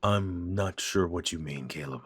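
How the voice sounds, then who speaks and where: An actor playing a stiff, uneasy middle-aged father in an audio drama, talking in gruff, clipped lines. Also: deep voice, unhurried, fairly bright tone, no room sound, exaggerated voice. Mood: defensive.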